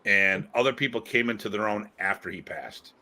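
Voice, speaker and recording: A man co-hosting a podcast in casual conversation, talking in an animated, emphatic way with casual swearing. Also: fairly low pitch, moderate pace. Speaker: podcast co-host.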